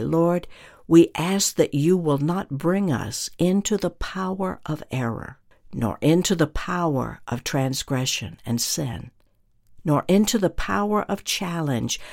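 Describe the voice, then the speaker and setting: A minister gives a scripted religious teaching with a medium-pitched voice. The delivery is earnest and deliberate, setting out phrases with emphasis. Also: gravelly, a little mellow.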